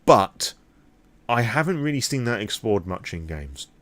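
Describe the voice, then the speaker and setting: A man's voice: lowish voice, very animated, measured pace, fairly bright tone, no echo. A British man rambling candidly through an impromptu vlog, opinionated and freely swearing. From Britain. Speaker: vlogger.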